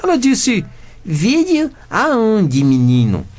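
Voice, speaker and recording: Whiny, high-voiced teenage boy. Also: huge pitch swings, slow pace, darkish tone, heavily acted. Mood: cheeky, insistent. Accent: Brazilian. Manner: whiny, protesting. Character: cheeky defensive 15-year-old son, argumentative teenager. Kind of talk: comedic radio drama acting.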